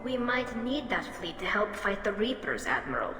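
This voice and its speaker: Bright-voiced young alien woman. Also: medium pitch, sing-song, moderate pace. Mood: respectful, firm. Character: earnest, respectful young alien woman, anxious but spirited. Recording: echoey hall, faint music behind.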